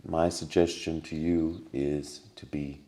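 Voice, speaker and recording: A man with a very low voice talks as a guest in a podcast conversation. He offers spiritual advice earnestly, landing on key words and repeating phrases to drive them home. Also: little pitch movement, slow pace, dark tone.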